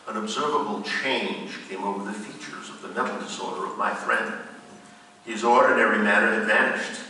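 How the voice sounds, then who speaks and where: Man acting formal gothic gentleman, very echoey. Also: fairly low pitch. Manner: formal, measured, dramatic. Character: anxious, earnest gentleman friend narrating a gothic horror tale. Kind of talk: theatrical narration and radio drama acting. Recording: very echoey hall, distant mic, faint noise.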